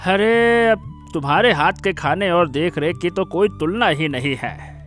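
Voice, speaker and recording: A man's voice: high voice, animated, a little slow, fairly bright tone, soft background music. A man playing a contented, proud family father in a cartoon story, affectionate and reflective. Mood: fond.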